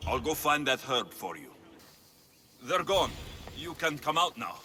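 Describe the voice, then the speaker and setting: A man's voice: mid-range voice, big pitch swings, a little slow, pushed and bright, a little hiss or hum. A man acting a capable, sardonic Greek mercenary in a video game cutscene, with a dry delivery. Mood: curious, dry, then businesslike. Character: wry, capable male Greek mercenary for hire.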